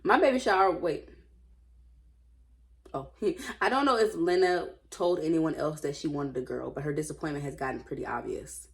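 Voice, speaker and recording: A woman reading aloud and commenting casually, with an informal, opinionated, slangy way of musing out loud. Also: sits low. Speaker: host reading and commenting on online stories.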